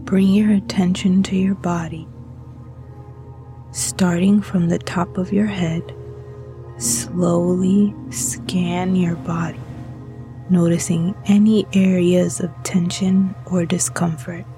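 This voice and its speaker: A woman guiding a meditation as a narrator, speaking in a soothing, gentle and breathy manner. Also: on the low side, little pitch movement, slow, faint music behind. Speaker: meditation guide.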